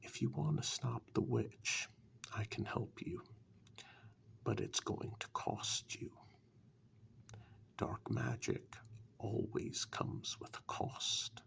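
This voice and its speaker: A man playing a sly, condescending warlock who bargains coolly in a dark fairytale ASMR role-play, speaking softly and breathily with slow, dismissive deliberation. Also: mid-range voice, huge pitch swings, exaggerated voice. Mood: scornful, sly. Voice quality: breathy half-whisper, gravelly.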